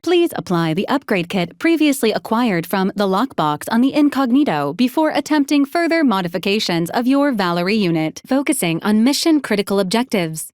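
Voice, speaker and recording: A woman's voice: medium pitch, a little mellow, no room sound, studio quality, natural voice. A woman playing a polite, literal robot assistant unit in a video game, speaking formally and precisely with clinical detachment. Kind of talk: scripted video game character lines.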